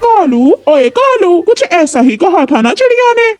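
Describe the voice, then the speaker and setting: A woman's voice: extremely high pitch, very animated, measured pace, a little mellow, no echo, soft background music, pitched above the performer's own voice, full character voice. A woman voicing an envious, boastful female crow in a children's story, pushy and wheedling. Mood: clumsy singing.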